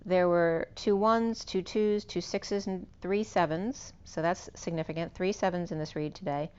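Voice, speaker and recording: A woman with a hoarse, fading voice giving an unscripted spiritual tarot reading, meandering and correcting herself as she lists cards and numbers. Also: fairly low pitch, fairly even intonation, normal speed, a little mellow, dull audio. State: losing her voice. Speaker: tarot reader.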